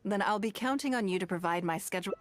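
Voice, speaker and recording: Woman as poised, shrewd game businesswoman, calm and elegant, mid pitch. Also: fast talker, soft background music, close to an everyday voice. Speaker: game character. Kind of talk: video game voice acting.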